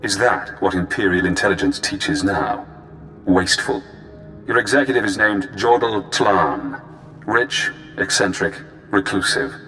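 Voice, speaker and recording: Low-voiced, sinister spy handler. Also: male voice, lively intonation, sharp tone, faint music behind, full character voice. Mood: calculating. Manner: measured, cerebral, clipped phrases. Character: cool, cunning male spy handler, quietly sinister. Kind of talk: video game voice acting.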